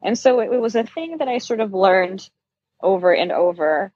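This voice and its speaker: A woman's voice: mid-range voice, lively intonation, moderate pace, a little mellow, breathy edge, no room sound, muffled recording. A middle-aged woman from Brazil being interviewed, telling personal stories in a candid, self-deprecating, conversational way. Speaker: interview guest, yarn shop owner.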